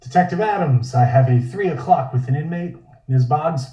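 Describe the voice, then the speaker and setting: A man playing a reserved, probing police detective in a script table read, with measured, formal questioning. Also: a little high, animated, dark tone, roomy sound, distant mic, dull audio, close to an everyday voice. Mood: dry, skeptical.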